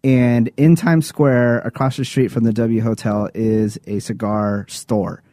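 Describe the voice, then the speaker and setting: A man with a mellow, darker voice co-hosts a chatty podcast, telling party stories with lively energy and laughing along. The sound is close and dry, with no room at all. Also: mid-range voice, unhurried, no echo. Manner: animated storyteller, laughs at his own stories. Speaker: podcast co-host. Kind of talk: casual chat and anecdotes.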